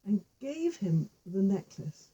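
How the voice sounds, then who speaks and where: Soft, mellow woman telling a folk tale. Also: medium pitch, big pitch swings, slow pace, slightly rough, muffled recording. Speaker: storyteller with puppet figures. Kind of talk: oral folk tale storytelling, informal.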